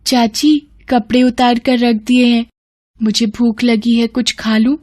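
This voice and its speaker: A woman's voice: fairly high pitch, little pitch movement, a little slow, a little mellow, pitched above the performer's own voice, natural voice. A woman voicing a timid eight-year-old orphan girl from a storybook, speaking in a meek, plaintive, pleading way. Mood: meek, worried.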